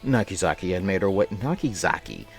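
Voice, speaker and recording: A man with a fairly low voice and very lively intonation hosts a casual livestream. He rambles playfully, repeating phrases as he chats and reads aloud. Faint music plays behind him. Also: fairly quick. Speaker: live streamer. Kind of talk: casual livestream chat and reading a visual novel aloud.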